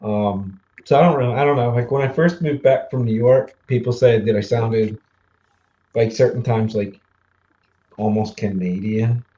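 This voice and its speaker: A man in his forties from the Mid-Atlantic US, hosting a casual livestream chat, easygoing and quick to laugh, with lots of fillers. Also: mid-range voice, warm tone, highs cut off. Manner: chatty, laughs easily, trails off with fillers. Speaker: livestream host.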